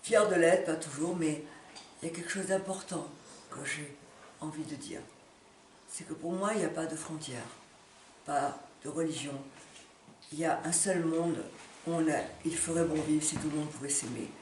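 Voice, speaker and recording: A woman's voice: low voice, steady tone, a little mellow. Woman speaking from afar in a roomy space. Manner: halting, emphatic, repeating words and phrases. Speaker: speaker giving a personal appeal. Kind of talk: impromptu impassioned monologue to camera. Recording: roomy, distant mic with background noise.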